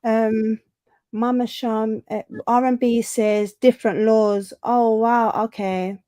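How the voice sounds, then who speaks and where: A woman's voice: a little high, flat delivery, takes it slowly, dark tone, clean tone, dry recording. A woman hosting a casual livestream, chatting and reading viewer comments aloud. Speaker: livestream host.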